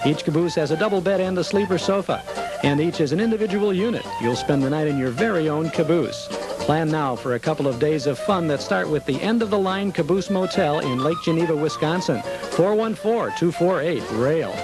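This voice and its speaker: A man's voice: a little high, lively intonation, a little fast, music in the background. A man hosting and announcing on a local television program. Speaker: show host and announcer.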